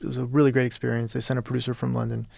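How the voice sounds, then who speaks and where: A man's voice: mid-range voice, level tone, racing, gravelly, a little mellow, dry recording. A man from Peru talking as an interview guest, rambling with many restarts and "you know". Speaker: interview guest, novelist and radio producer. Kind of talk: casual interview conversation.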